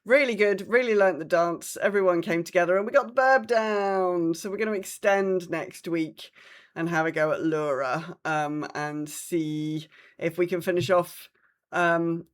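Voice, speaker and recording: A woman's voice: fairly low pitch, a little slow. A woman hosting a podcast, chatting casually about her week of gaming and acting out reactions as she retells things. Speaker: podcast host.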